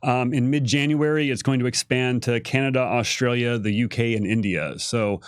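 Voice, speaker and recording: A man's voice: medium pitch, steady tone, normal speed, no room sound, clean studio sound. A man presenting on a livestream in a casual, explanatory way as a creative director. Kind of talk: casual explanatory panel chat.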